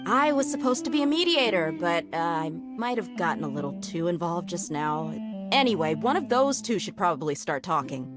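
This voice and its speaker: A woman's voice: on the low side, big pitch swings, moderate pace, music in the background. A woman playing a brash, exasperated young fighter in a video game, with a casual, blunt way of talking. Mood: sheepish, resigned.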